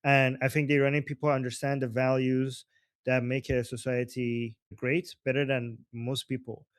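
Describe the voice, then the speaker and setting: A man's voice: medium pitch, level tone, normal speed, no room sound, clean studio sound. A man from Iran hosting a live political discussion, arguing emphatically and dropping rhetorical "right?" and "okay?". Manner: emphatic, argumentative, rhetorical tag questions. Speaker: host.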